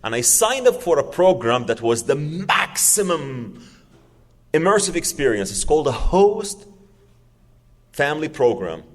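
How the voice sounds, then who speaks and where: A man's voice: on the high side, big pitch swings, a little slow, big-room sound. A man, telling his personal story to an audience in an earnest, emphatic way, repeating words for stress. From Saudi Arabia. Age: 40s. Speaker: public speaker.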